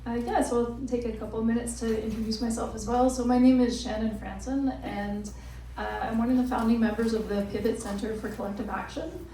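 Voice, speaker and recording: A woman panelist introducing her work, talking in a measured way with many fillers. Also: a little high, steady tone, mellow. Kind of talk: panel talk. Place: crowded hall. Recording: echoey room, distant mic, faint noise.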